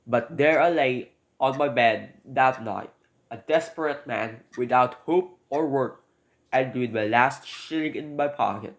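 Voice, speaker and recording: A man's voice: medium pitch, a little slow, close to an everyday voice. A man playing a desperate, penniless burglar in a radio drama, telling his story in formal, old-fashioned, pleading speech. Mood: confiding. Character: desperate, penniless burglar confessing his crime, polite but wary. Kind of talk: dramatic first-person storytelling in a radio play.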